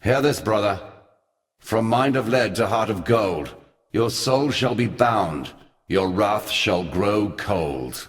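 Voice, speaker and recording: A man playing an ancient, forgotten god in a video game, somber and weary, speaking solemnly and deliberately in archaic, portentous phrases. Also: on the low side, expressive, long reverb tail. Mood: commanding incantation. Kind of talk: scripted video game character dialogue.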